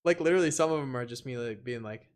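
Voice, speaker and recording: A man talking casually and informally as a podcast guest, a YouTuber who uses slang and halting phrasing. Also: mid-range voice. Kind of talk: casual chat.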